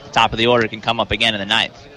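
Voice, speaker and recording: A man's voice: fairly low pitch, level tone, racing, sharp tone, a faint crowd. An American man giving live analytical baseball commentary in an explanatory, matter-of-fact way.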